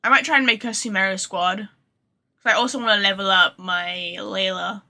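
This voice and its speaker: Bright-voiced woman streamer, casual and rambling. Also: mid-range voice, fairly even intonation, moderate pace. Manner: rambling, informal, sweary. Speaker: video game livestreamer. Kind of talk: casual livestream chat to viewers.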